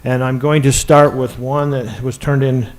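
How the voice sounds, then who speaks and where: A man's voice: mid-range voice, fairly even intonation, normal speed. A man speaking formally as he runs a public meeting and moves through the procedure. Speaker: council meeting chair.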